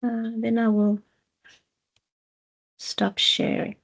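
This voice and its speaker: A woman who heads a dance program, giving a slow, measured presentation on a video call with many restarts. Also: fairly high pitch, completely flat delivery, creaky. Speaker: head of program. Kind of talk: online info session presentation.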